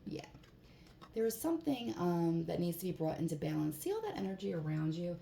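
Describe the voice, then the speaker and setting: A woman with a hoarse voice giving a casual tarot card reading in a relaxed, chatty way, with plenty of fillers. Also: on the low side, fairly quick. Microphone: phone. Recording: echoey room, faint background hiss.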